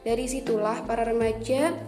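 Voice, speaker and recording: A young woman reads her class presentation at a measured pace, her voice a little high. The room echoes around her, and music plays clearly in the background. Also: fairly even intonation. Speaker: university student presenter. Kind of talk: reading a prepared class presentation.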